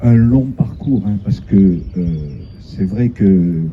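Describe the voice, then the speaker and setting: A man giving a public inauguration speech as an official, in a halting, hesitant way. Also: lowish voice. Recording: slightly dull sound, faint ambient noise.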